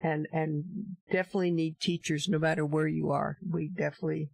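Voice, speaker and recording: Man co-host, gravelly voice, fairly high pitch, dry sound. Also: little pitch movement, darkish tone, dry recording. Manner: easygoing, quick agreeing interjections. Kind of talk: casual talk show chat.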